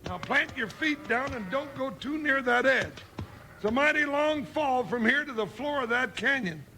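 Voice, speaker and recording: A man's voice: very high-pitched, expressive, normal speed, pushed and bright, faint music behind, full character voice. An American man playing a jolly, reluctant cowboy sidekick in a 1950s radio Western, with folksy, drawling Old West talk. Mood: alarmed. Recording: noisy, crackly 1950s radio recording.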